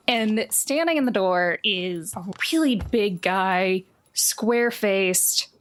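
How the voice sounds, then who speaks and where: A woman's voice: medium pitch, takes it slowly, fairly bright tone. A woman game master narrating a tabletop game in a casual, improvised, playful way.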